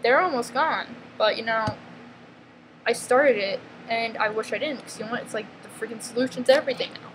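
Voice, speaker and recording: A woman with a New York accent, talking in a blunt, emphatic confessional vlog, halting and restarting often and filling her speech with "freaking" and "like.". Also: on the high side. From northeastern US, near New York. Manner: halting, restarts mid-sentence. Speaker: vlogger. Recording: noticeable background ambience noise.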